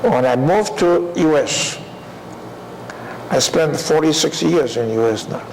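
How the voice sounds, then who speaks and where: An older man gives a university lecture in a deliberate way, often asking the class rhetorical questions. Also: on the high side, lively intonation, vocal fry, darkish tone, slight background noise, low-quality recording. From Taiwan.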